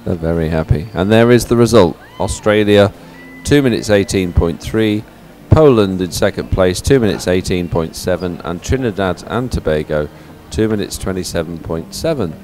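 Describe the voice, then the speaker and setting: A man's voice: sits low, moderate pace, hardly any pauses, darkish tone, soft background music. A man anchoring live sports commentary, conversationally announcing results and what is coming up.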